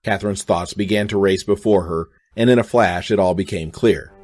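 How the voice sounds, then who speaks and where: Low-voiced man narrating a radio drama. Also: normal speed, darkish tone, faint music behind.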